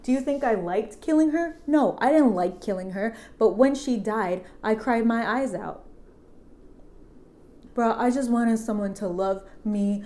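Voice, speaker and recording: A lonely, aggrieved monster acted out by a woman in a comic retelling of a horror tale. He pleads in a raised, mellow voice, full of slangy, melodramatic self-pity. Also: on the high side, a little slow, natural voice. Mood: wounded, bitter.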